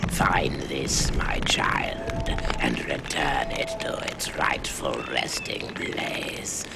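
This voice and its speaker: A man voicing a sinister, tormented undead spirit in a video game, with gravelly, whispery, archaic and menacing speech. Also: extremely low pitch, wildly swooping pitch, drawn-out words, exaggerated voice. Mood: urgent, pleading. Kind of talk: theatrical video game voice acting.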